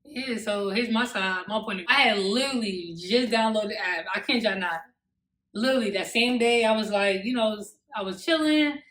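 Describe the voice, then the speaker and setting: A young American woman in her twenties banters to camera with a teasing, joking manner. Her voice is average in pitch and her wording is loose and slangy. The room around her adds a clear echo. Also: moderate pace, a bit bright. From Newark, New Jersey, United States. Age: 20s. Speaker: YouTube couple vlogger. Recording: echoey untreated room.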